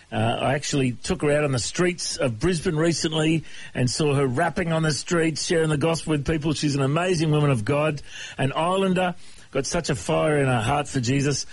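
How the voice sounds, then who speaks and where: A man's voice: fairly high pitch, breathy edge. A man hosting a casual interview, friendly, prompting his guest often with "mm-hmm". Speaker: host.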